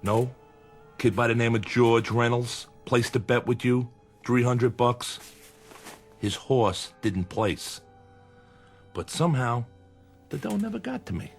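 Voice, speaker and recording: A menacing mob bookmaker in an old-time radio drama. His pitch is middling but moves widely as he needles and threatens with weary sarcasm. Music plays faintly behind him. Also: animated, slow, vocal fry, pauses often. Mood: aggrieved, threatening. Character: menacing, sardonic male gangland bookmaking boss.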